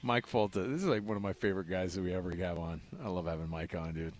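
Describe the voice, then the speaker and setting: A man's voice: low-pitched, fairly even intonation, quick pace. A man with an American accent hosts a sports radio show in a casual, chatty style.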